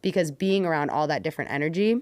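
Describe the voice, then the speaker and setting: A woman's voice: low voice, fast, no echo. A woman speaking casually as a podcast guest, telling stories in an informal, slangy way. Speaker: podcast guest, community builder. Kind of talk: casual conversational interview.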